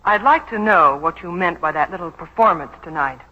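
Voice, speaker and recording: Suspicious, sharp young secretary, forties radio drama, bright animated voice, muffled old broadcast. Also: female voice, fairly low pitch, fairly quick, a little hiss or hum. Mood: indignant. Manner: crisp, indignant, cutting. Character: loyal, sharp-tongued young female secretary, suspicious and proud. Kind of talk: 1940s radio drama acting. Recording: dull 1940s radio broadcast recording.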